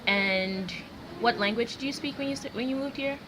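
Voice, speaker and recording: A woman's voice: a little high, normal speed. A young woman interviewing a peer in a casual, chatty way, filling her questions with "like". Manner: chatty, lots of "like". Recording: noisy background.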